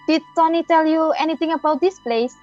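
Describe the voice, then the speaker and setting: A woman's voice: high voice, a little fast, darkish tone, no room sound, lightly acted. A woman playing a friendly, mysterious head chef in a readers theater drama, speaking like a mentor. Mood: mysterious, earnest. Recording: muffled audio with background music.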